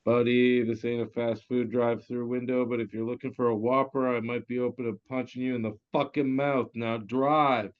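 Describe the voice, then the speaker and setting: A man's voice: mid-range voice, flat delivery, normal speed, hardly any pauses, lightly acted. A man playing a surly, foul-mouthed getaway driver in a comedy table read, on dull lo-fi audio. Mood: surly, threatening.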